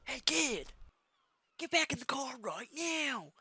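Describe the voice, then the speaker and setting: A woman playing a frantic, aggressive police officer in a fan dub, shouting commands. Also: high voice, very animated, slow, no room sound, heavily acted. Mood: urgent, aggressive. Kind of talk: fan-dub dramatic acting.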